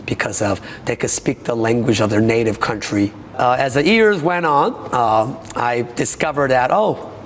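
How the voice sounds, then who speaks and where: A man from South Korea with a Korean accent, a taekwondo master speaking haltingly in an interview, with many fillers. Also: mid-range voice, big-room sound, a little hiss or hum.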